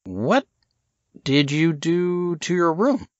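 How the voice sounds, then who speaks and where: Man acting a tense, methodical man, animated pitch. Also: dry recording, muffled recording. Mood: controlled, commanding. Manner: deliberate, methodical. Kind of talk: audiobook character dialogue.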